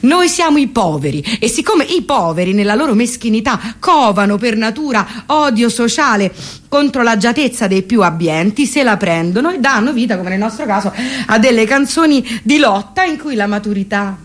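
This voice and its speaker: A woman's voice: a little high, expressive, moderate pace. A woman delivering a satirical monologue as a comic performer, mock-solemn and theatrical. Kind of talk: satirical stage monologue between songs.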